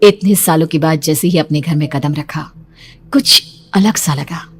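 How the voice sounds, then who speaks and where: A young woman narrating a horror cartoon story in the first person, hushed and suspenseful. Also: low voice, unhurried, breathy edge, faint music behind. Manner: hushed, suspenseful, rising to frightened.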